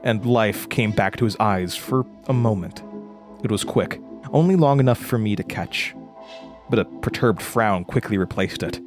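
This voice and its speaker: An actor playing a haunted, frightened man recounting a horror ordeal in a halting, intense way, as in an audio drama. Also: lowish voice, faint music behind, natural voice. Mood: frantic, haunted. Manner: halting, stammering over words, intense. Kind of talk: first-person horror audio drama narration.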